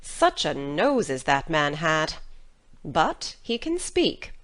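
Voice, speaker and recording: A woman's voice: sits low, big pitch swings, slow pace, a bit bright, exaggerated voice. A woman playing a stern, practical middle-aged farm guardian in an audiobook, with a dry, no-nonsense, scolding manner but a caring heart. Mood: dry, matter-of-fact. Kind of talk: dramatic audiobook reading.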